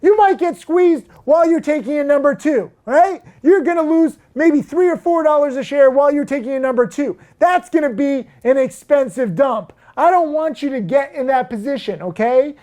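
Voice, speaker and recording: A man's voice: very high-pitched, normal speed, few pauses. Brash, emphatic man talking trading. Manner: brash, emphatic, gets loud and worked up; crude jokes, fillers like "um" and "like". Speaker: stock trading educator. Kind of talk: casual to-camera talk.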